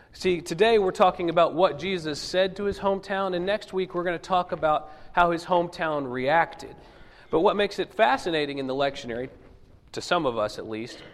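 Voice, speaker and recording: Sick pastor with a husky voice. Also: male voice. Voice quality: lowered, husky voice from illness. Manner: joking, chatty asides to the congregation. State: sick with a cold. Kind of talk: conversational sermon. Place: church sanctuary. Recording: long hall reverb.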